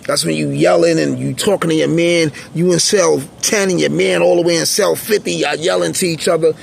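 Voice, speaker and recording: A man with a raspy, intensely gravelly voice that sits somewhat high for a man. He talks in a blunt, streetwise, rambling way, like an unscripted monologue to his viewers. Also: fairly even intonation, fairly quick, slight background noise. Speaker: channel host, former prisoner.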